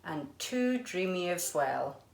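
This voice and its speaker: Woman reading as excitable young friend, echoey room. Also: lowish voice, slow, vocal fry, exaggerated voice. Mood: teasing. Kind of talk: storybook character voice.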